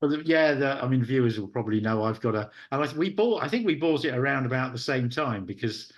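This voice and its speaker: A man's voice: medium pitch, lively intonation, fast. A man interviewing casually, agreeing often with short affirmations.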